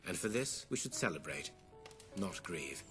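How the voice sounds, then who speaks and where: A man playing a solemn, genteel older scholar in a video game, speaking in a measured, formal, eloquent way. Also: low voice, sing-song, music in the background. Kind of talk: video game cutscene acting.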